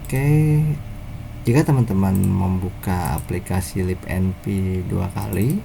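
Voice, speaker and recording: Indonesian guy doing a casual app walkthrough, kinda halting, gravelly low voice, noisy background. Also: mellow. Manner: casual, halting step-by-step delivery. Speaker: software developer presenting own app. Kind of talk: informal software tutorial narration.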